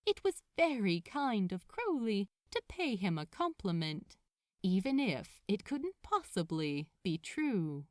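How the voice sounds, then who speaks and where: A woman reading fiction aloud as a narrator, in an expressive storytelling style. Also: medium pitch, slow pace, no echo, clean studio sound. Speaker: podfic narrator.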